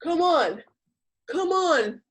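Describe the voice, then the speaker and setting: A woman's voice: very high voice, animated, extremely slow, pitched above the performer's own voice, exaggerated voice. A woman playing a smarmy, pushy male accountant on a date in a comedic radio play.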